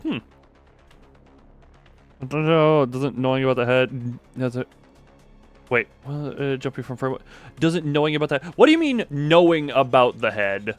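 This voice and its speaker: A man's voice: mid-range voice, lively intonation, moderate pace, long pauses. A man casually commentating a live game stream, rambling and thinking aloud, often stumbling on the starts of his words. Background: game music.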